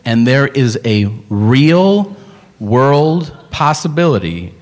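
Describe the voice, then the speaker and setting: Animated, incredulous male stand-up comic. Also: mid-range voice, takes it slowly. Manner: incredulous, emphatic, casually profane storytelling. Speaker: stand-up comedian. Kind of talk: live stand-up comedy. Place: live comedy stage.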